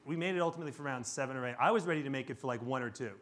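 A man's voice: medium pitch, rapid-fire, a bit bright. A middle-aged American man, a filmmaker on a panel, explaining things in an emphatic, matter-of-fact way.

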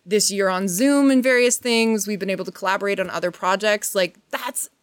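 A woman's voice: mid-range voice, fairly quick, no echo, clean studio sound. Effusive woman rambling in casual chat. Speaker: panel guest, actress.

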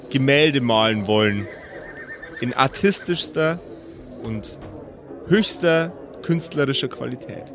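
A man narrating an improvised tabletop game as game master, in a theatrical, deliberate storytelling manner. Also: fairly high pitch, a little hiss or hum.

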